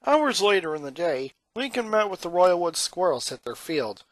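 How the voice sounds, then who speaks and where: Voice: medium pitch, sing-song, moderate pace, dry recording. A narrator reading a fan fiction story aloud as an amateur.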